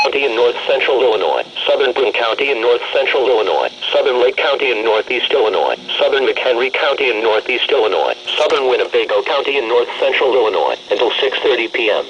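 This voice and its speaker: A man's voice: medium pitch, lively intonation, quick pace, hardly any pauses. A man's synthetic, formal and impersonal voice reading a scripted weather warning bulletin on the radio. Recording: noisy, muffled weather radio broadcast.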